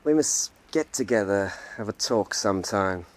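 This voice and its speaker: A man's voice: lowish voice, lively intonation, moderate pace, lightly acted. A man playing a world-weary painter who mocks himself and his colleagues wryly. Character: jaded, self-mocking male painter. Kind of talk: radio drama acting.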